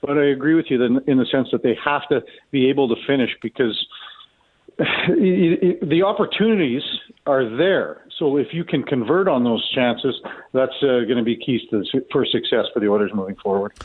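A man's voice: mid-range voice, normal speed, husky. A man giving casual sports analysis over a phone line, conversational, often repeating and rephrasing his points. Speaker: sports commentator. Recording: tinny, phone-like narrow band.

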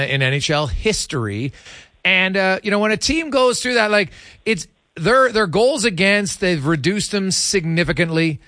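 A man hosting a sports interview, talking conversationally in halting, stat-heavy runs. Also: high-pitched, pushed and bright, no echo. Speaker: sports radio host.

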